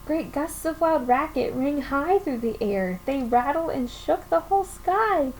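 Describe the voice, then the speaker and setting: High-voiced woman reading a storybook. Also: lively intonation, measured pace, low-quality recording. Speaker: teacher reading story time. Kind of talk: animated children's storybook reading. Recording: faint background noise.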